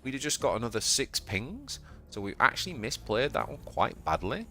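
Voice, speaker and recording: A man with a Yorkshire accent streaming a card game live, chatting to viewers and yelping with excitement at surprises. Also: moderate pace. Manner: excitable, bursts into high yelps at surprises. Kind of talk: live gameplay commentary.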